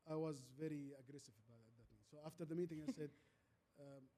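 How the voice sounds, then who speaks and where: Man, native Arabic speaker, Arabic accent, fairly high mellow voice, halting anecdotal delivery, repeated words, short emphatic phrases, guest speaker. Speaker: guest speaker, transformation leader. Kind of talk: fireside chat, anecdotal storytelling.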